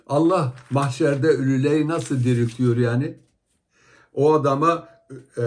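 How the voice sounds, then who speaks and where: A man's voice: mid-range voice, a little mellow. Angry guy tearing into clips, scolding, rhetorical questions, mid voice. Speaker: commentator on religious videos. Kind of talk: heated critical commentary.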